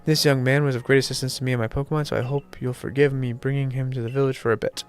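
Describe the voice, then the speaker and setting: A man's voice: mid-range voice, level tone, fast talker, soft background music, natural voice. A courteous, scholarly professor from a video game, read aloud by a man. The voice is nasal and congested from illness, and the delivery is earnest and formal. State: sick, congested. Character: polite, scholarly male professor, formal and eager.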